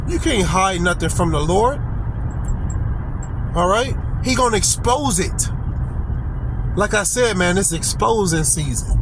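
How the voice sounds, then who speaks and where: A middle-aged man preaches a blunt, exhorting street sermon in a high voice. He drives his points home in rhythmic repeats, ending phrases with "man" and "okay." Clear traffic and street noise run underneath him. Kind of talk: informal sermon.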